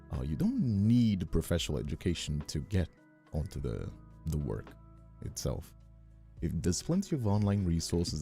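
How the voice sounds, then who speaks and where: Very deep, gravelly, dark-voiced man. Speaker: streamer and voice actor hosting an ASMR livestream. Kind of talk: unscripted ASMR livestream chat.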